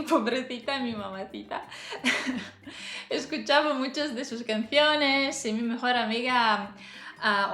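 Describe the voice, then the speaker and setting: Give me a chatty woman vlogger with a bright, sharp voice and lots of small laughs. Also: fairly high pitch. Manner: chatty, laughs often, hesitant fillers. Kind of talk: casual talk to camera. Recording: roomy echo, faint music behind.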